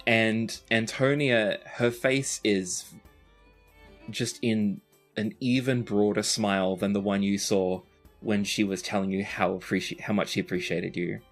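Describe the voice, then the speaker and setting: Male game master, low voice, improvised conversational narration over music. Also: fairly even intonation, unhurried.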